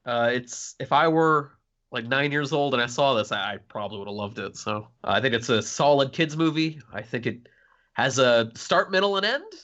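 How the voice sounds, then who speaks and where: A man's voice: mid-range voice, moderate pace, fairly bright tone, highs cut off. A man co-hosting a podcast, giving casual, wry and understated commentary in a film review chat. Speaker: podcast co-host.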